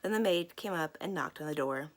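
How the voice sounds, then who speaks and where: A woman narrates a children's story as a read-aloud host. Her voice sits rather low and has a strong creak as she moves through the prose. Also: animated, fairly quick.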